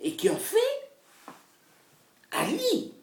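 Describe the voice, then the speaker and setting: A woman's voice: very high-pitched, wildly swooping pitch, slow. Fiery woman ranting in an echoey room. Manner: fiery and repetitive, swinging from loud outbursts to hushed whispers. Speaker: political activist. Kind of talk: impassioned political rant to camera. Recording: roomy echo, voice far from the mic.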